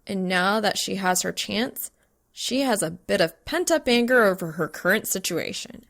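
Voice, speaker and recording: A woman reading a scripted story aloud as narrator, with an expressive, dramatic delivery. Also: medium pitch, moderate pace, gravelly. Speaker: fan fiction narrator.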